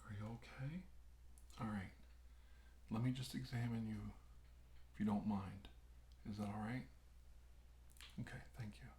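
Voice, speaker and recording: A man playing a calm, reassuring doctor in an ASMR medical roleplay, speaking softly with hesitant, soothing delivery. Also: fairly low pitch, a little slow, pauses often, close to an everyday voice. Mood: soft, careful. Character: calm, reassuring male doctor examining an alien abduction patient. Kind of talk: ASMR medical exam roleplay, soft-spoken to whispered. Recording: roomy echo, faint background hiss.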